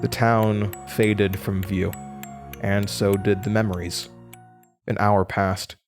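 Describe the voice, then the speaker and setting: A man's voice: on the low side, little pitch movement, slow pace. A man playing a shaken young college student, narrating a horror audio drama in a dramatic, haunted way that stammers under stress. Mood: haunted, sombre. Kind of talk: horror audio drama first-person narration, acting out dialogue. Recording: music bed under the voice. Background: music.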